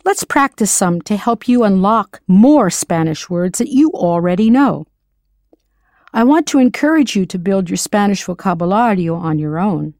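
A woman whose first language is English, narrating an audio language lesson in a clear, encouraging way, slowing down to model pronunciation. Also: medium pitch, a little mellow, dry recording, studio quality. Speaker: language teacher.

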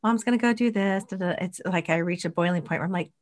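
A woman co-hosting a casual spiritual podcast, supportive and quick to agree with her friend. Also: fairly low pitch. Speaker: podcast co-host.

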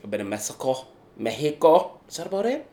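A young adult man from Canada, a street performer and escape artist, talking about his act in a relaxed interview and driving his points home with emphatic repeats. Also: fairly high pitch, a little fast.

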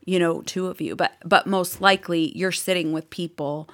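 A woman with a Boston accent, a guest on a podcast, talking earnestly and openly, laughing easily and sometimes dropping into a soft whisper. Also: fairly low pitch, fairly even intonation, moderate pace. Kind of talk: casual interview chat.

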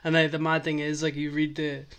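A man chats casually as a podcast host, rambling through his thoughts with plenty of "like" and "uh". His voice sits a touch high but stays flat in melody. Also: brisk, smooth. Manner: rambling, filler-heavy, enthusiastic. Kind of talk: casual chat.

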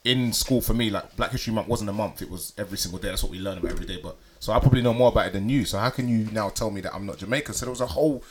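A young British man co-hosting a casual chat, animated and slangy, full of "like" and "yeah". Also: fairly low pitch, very fast, hardly any pauses, a little hiss or hum. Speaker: podcast co-host.

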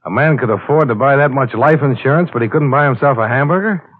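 An actor playing a brisk, wry American insurance investigator in a 1950s radio detective drama, with clipped, matter-of-fact delivery. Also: male voice, mid-range voice, a little hiss or hum, close to an everyday voice. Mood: curious, wry. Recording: tinny vintage radio recording with music.

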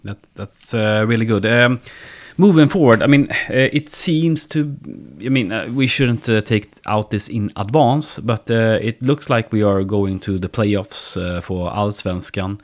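Male podcast host, low-ish pitch, relaxed and chatty. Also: unhurried, hardly any pauses. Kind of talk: casual chat and interview.

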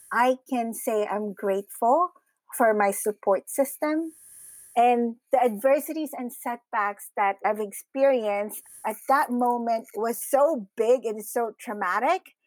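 A woman with a fairly high-pitched voice, from the Philippines, answers as an interview guest. She tells personal stories in a conversational style. The sound is dry, with little room around her. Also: dry recording. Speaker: interview guest, women's community founder.

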